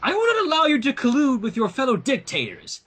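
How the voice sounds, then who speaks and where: Man putting on a shrill, vain, indignant girl pony, Trixie. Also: wildly swooping pitch, fairly quick, fairly bright tone, heavily acted. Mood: haughty, petulant. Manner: haughty, demanding, melodramatic. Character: Trixie, vain, indignant young female pony, petulant would-be usurper.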